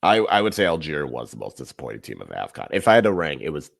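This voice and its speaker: A man co-hosting a casual podcast, giving animated, emphatic analysis of football matches. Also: extremely low pitch, quick pace, creaky. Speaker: podcast co-host. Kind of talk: casual sports chat.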